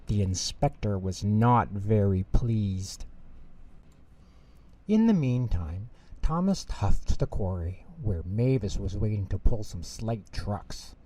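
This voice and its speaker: Male storyteller, lowish mellow voice, very lively intonation, expressive children's bedtime story reading. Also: takes it slowly.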